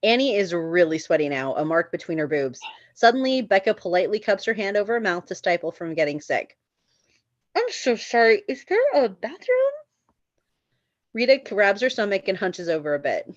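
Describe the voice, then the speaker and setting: A woman's voice: lowish voice, animated, brisk, dry recording, lo-fi sound. A woman reading screenplay stage directions as the narrator of a table read.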